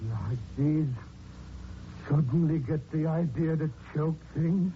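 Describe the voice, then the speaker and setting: An actor playing a big, strong police sergeant who is secretly a mad strangler, halting and menacing, in 1940s radio drama style. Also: male voice, a little high. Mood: brooding, musing. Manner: halting, brooding, turning menacing. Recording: dull noisy 1940s radio recording.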